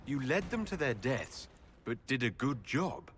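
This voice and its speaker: A man voicing a calm, earnest order leader. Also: mid-range voice, a little slow, slight background noise. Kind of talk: voicing his game character over gameplay.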